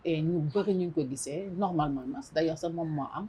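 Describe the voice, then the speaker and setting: A middle-aged woman from Senegal with a Senegalese accent, a guest in an interview, speaking in a measured, thoughtful way with frequent hesitations. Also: low-pitched, mellow, husky. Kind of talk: casual interview talk mixing French and Wolof.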